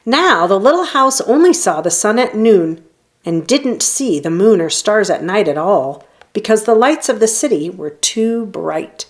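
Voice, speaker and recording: A woman reading a children's story aloud in an expressive storytelling manner, leaning on key phrases. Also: medium pitch, measured pace. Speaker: storybook reader. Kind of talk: children's read-aloud narration.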